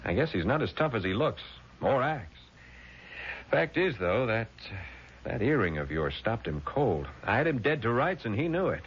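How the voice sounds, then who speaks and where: An actor playing a shrewd, protective lawyer in 1970s radio drama, speaking in a deliberate, hard-boiled, probing way. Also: male voice, mid-range voice, very animated, natural voice. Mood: reassuring. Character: shrewd, cool-headed male lawyer, protective and persistent. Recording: dull, noisy vintage radio recording.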